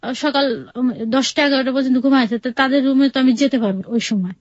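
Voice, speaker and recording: A woman with a Bangladeshi Bengali accent and a fairly high voice recounts her life as an interview guest. She talks plainly and conversationally, quoting people as she goes. The sound is close and dry, though somewhat dull. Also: fairly even intonation, fast talker, no echo. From Bangladesh, outside Sylhet. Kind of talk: personal storytelling in a radio interview.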